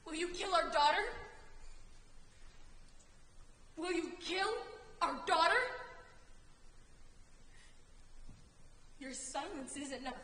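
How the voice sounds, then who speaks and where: A woman's voice: very high voice, moderate pace, fairly bright tone. A woman playing a grieving, furious queen and mother in a stage tragedy, declaiming and pleading emphatically. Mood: accusing. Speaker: stage actor. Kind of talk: theatrical one-act Greek tragedy. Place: theatre stage indoors. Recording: echoey theatre hall, long reverb.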